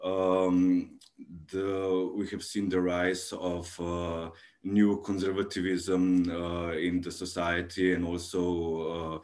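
Slow, deep-voiced man, halting. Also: monotone, a little mellow. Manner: hesitant, many filled pauses. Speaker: project coordinator of a cultural association. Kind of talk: panel discussion over video call. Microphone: video call.

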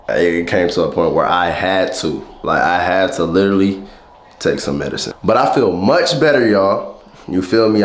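A man's voice: deep voice, roomy sound, slight background noise. A young man with a stuffy nose, talking casually to a handheld camera in laid-back slang. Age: young adult. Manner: laid-back slangy chatter, hyped greetings. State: congested. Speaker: vlogger. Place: small room indoors, then a big electronics store. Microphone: handheld camera at arm's length.

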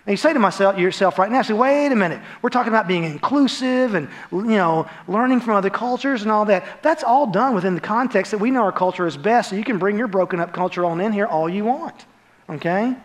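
A man's voice: sits high, expressive, brisk. An American man in his forties preaching a sermon in a conversational, emphatic way, often tagging points with short questions. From United States. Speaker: pastor. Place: large dark church auditorium, on stage.

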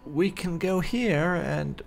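A man with a gravelly voice giving casual playthrough commentary with some light roleplay. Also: on the high side, slow pace, faint music behind. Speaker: gamer playing and commentating.

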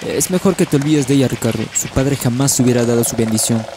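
A man acts a young confidant in a period drama. He talks quickly and frankly at a middle pitch, with urgency. Clear rain-like noise surrounds the voice. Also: natural voice. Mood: urgent. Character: young man's frank, urgent, gossiping friend. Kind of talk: historical audio drama.

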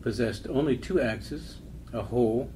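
A man's voice: medium pitch, measured pace, dark tone, slight background noise. A man giving a scripted reading of documentary poetry and old records.

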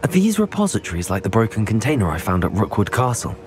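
A man acting as a level-headed, polite young student wizard hero in a video game, measured and formal. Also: lowish voice, wildly swooping pitch, a little mellow. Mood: respectful, purposeful. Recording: music behind the voice.

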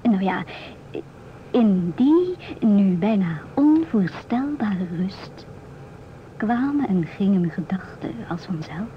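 An actress playing a musing, independent stewardess in her twenties in a radio drama, speaking in a hushed, often near-whispered voice. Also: mid-range voice, lively intonation, takes it slowly. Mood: dreamy recollection. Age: 20s. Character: musing, independent 27-year-old divorced ship stewardess. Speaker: narrator and stewardess. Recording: muffled old radio recording, clear hiss.

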